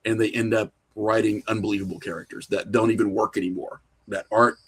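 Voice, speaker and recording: A man's voice: lowish voice, little pitch movement, fast talker, a bit bright, husky. A middle-aged man talking casually as an interview guest, opinionated and rambling as he works through his thoughts. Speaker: podcast guest, horror filmmaker and writer.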